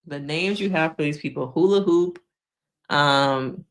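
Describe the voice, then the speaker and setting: Woman, live-stream host, slightly low pitch, casual chatty, frank opinions, laughs a lot, losing her voice. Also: takes it slowly. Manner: chatty, frank, laughs often, addresses viewers as y'all. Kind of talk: casual live-stream chat reacting to viewer comments.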